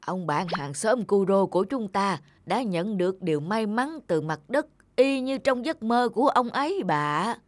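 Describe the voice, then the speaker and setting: A woman's voice: mid-range voice, dry recording, close to an everyday voice. Woman acting honest, good-natured old farmer man. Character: honest, simple, kindly old male farmer. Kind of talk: cartoon folktale character acting.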